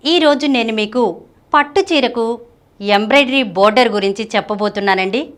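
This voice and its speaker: A woman's voice: on the high side, normal speed, a bit bright. A woman presenting scripted narration for a women's fashion video, addressing viewers directly. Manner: addresses viewers with rhetorical questions. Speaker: channel presenter. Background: background music.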